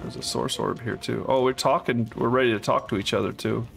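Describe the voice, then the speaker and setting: A man's voice: medium pitch, fairly even intonation, brisk, creaky. A man casually commenting on his co-op game as he plays, musing aloud and laughing often. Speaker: let's play host. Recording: game music behind voice.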